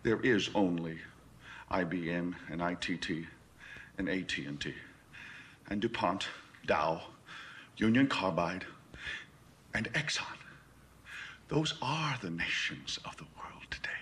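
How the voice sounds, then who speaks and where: Grandiose, gravelly American tycoon. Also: male voice, mid-range voice, very animated, sharp tone, in a whisper, full character voice. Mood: quiet menace. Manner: grandiose, oratorical, emphatic deliberate phrasing. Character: domineering corporate tycoon preaching with messianic fervor. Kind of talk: theatrical film monologue.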